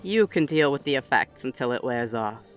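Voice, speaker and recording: A man's voice: medium pitch, animated, normal speed, clean tone, soft background music, close to an everyday voice. A man playing a stern, dry autumn fae noble who leads the Wild Hunt, speaking pointedly in an audio roleplay. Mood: dry, unimpressed. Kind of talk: scripted audio roleplay acting.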